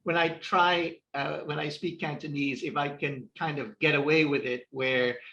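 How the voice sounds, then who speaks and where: A man's voice: a little high, fairly even intonation, moderate pace, gravelly, pushed and bright, dull audio. Chatty male interviewer, roomy sound. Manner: chatty, with frequent restarts. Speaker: podcast host, interviewer. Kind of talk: interview questions. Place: Hong Kong.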